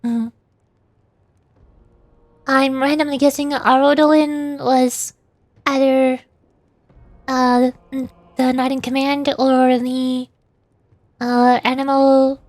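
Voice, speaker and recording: A woman's voice: sits high, fairly even intonation, slow, long pauses, dry recording. A woman streaming a game, chatting casually and reading lore aloud in a giggly, easily puzzled, self-deprecating manner.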